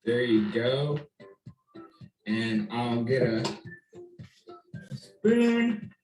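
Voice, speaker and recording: A man hosting for young children, explaining simple steps directly to the viewer with questions and pauses for them to answer. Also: medium pitch, very animated, darkish tone, faint music behind. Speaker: children's story time host. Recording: roomy echo.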